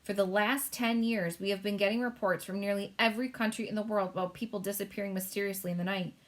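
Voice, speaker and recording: A woman playing a gruff, impatient army general in a storybook read-aloud. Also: mid-range voice, fairly even intonation, fast, natural voice. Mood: blustering. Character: blustering male army general.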